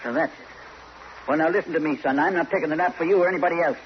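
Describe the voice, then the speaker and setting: An actor playing a hard-nosed, cajoling old American fight manager in an old-time radio crime drama. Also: male voice, a little high, very fast, gravelly. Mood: defensive. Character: scheming old fight manager, exasperated father figure. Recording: dull, hissy old radio recording.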